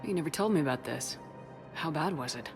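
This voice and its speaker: A woman playing a determined, steady military commander in a video game, speaking firmly and earnestly. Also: sits low, brisk, music in the background. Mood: attentive, concerned.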